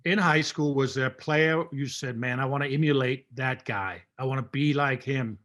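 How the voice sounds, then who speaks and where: A man's voice: medium pitch, normal speed, fairly bright tone. A man in his sixties from Denmark, hosting a casual video-call interview with a friendly, chatty manner and a headset mic. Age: 60s. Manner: friendly, chatty, repeats words. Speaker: podcast host. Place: home room.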